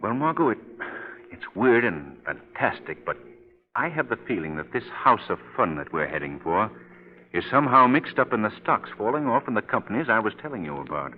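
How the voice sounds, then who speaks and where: A man acting a suave, assured crime-fighter in a 1930s radio drama, speaking in a measured, formal, probing way. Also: on the low side, very animated. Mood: musing, grave. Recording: muffled vintage 1930s radio recording.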